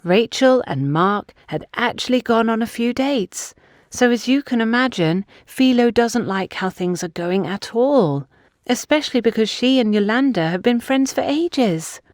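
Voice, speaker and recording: A woman's voice: on the high side, animated, unhurried, dry recording, clean studio sound, pitched above the performer's own voice. A woman voicing an insistent, gossipy older woman in an audiobook, emphatic and confiding. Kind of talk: audiobook character dialogue.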